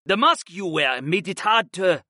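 A man's voice: high-pitched, animated, a little slow, bright, forceful tone, dry recording, clean studio sound, voice pushed up, heavily acted. A man voicing an apologetic gate guard in an audiobook. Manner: polite, apologetic.

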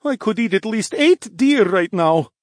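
Bear cub boy, played by a man, high voice, childlike, anxious, stammering, fantasy audiobook, dry sound. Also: lively intonation, measured pace, dry recording. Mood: earnest, cheerful. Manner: stammering, wide-eyed, childlike. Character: young bear demi-human boy, anxious, tearful, hungry.

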